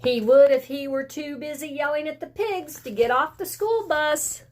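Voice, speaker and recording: A woman voicing a schoolchild who casually recounts a chaotic field trip in a picture book read-aloud, drawn-out and matter-of-fact. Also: high-pitched, pitched above the performer's own voice, lightly acted.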